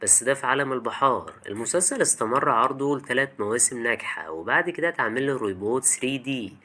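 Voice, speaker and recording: A young Egyptian man narrates a video essay at a brisk clip. His Egyptian Arabic is colloquial and chatty, and he speaks straight to the listener like a storyteller hosting his own show. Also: medium pitch, expressive, bright, forceful tone.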